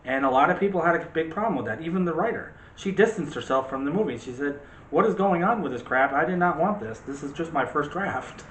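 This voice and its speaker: A man's voice: fairly high pitch, animated, quick pace, fairly bright tone. Guy cohosting a casual movie show, opinionated and emphatic, talks to viewers, echoey room w a bit of noise. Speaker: co-host of a movie discussion show. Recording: roomy echo with faint background noise.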